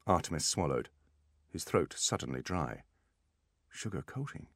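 A man's voice: low-pitched, animated, fairly quick, no echo, clean studio sound. A man reading a story aloud as scripted audiobook narration, in a dramatic style.

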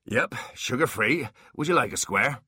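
A man's voice: mid-range voice, animated, moderate pace, bright, forceful tone, husky, no echo, clean studio sound, pitched above the performer's own voice. A man voicing a crafty male dwarf in an audiobook, with a wry, coaxing manner. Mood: falsely sweet.

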